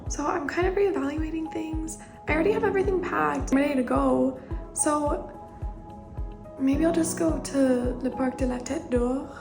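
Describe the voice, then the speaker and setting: A woman's voice: sits high, normal speed, vocal fry. Chatty young woman vlogging over music. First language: not French. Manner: chatty and upbeat, many "like" fillers. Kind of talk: casual vlog narration. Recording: loud music under the voice.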